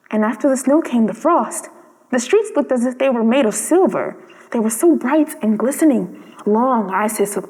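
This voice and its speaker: A woman's voice: sits high, darkish tone. A woman reading a children's story aloud as scripted narration. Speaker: storybook reader. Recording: long hall-like reverb.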